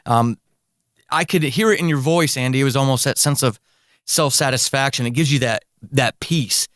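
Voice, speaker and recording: A man's voice: medium pitch, normal speed, a bit bright, no room sound, studio quality. A man hosting a podcast, speaking in an encouraging way straight to his listeners. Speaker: podcast host. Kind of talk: conversational interview with promotional narration.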